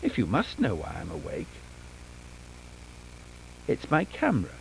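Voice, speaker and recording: A man's voice: fairly low pitch, wildly swooping pitch, measured pace, gravelly, a little hiss or hum, exaggerated voice, poor audio. A man voicing an anxious, trusting young boy in a storybook horror tale, childlike and theatrical. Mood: glum, tearful.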